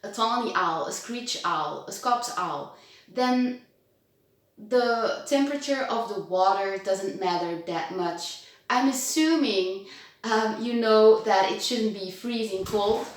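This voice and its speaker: A woman's voice: medium pitch, slow. A woman in her thirties from Belgium, chatting casually through a home tutorial vlog, bubbly and talking to her pet owl and viewers. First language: Dutch. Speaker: owl-keeping vlogger. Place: home kitchen, indoors. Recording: very echoey room.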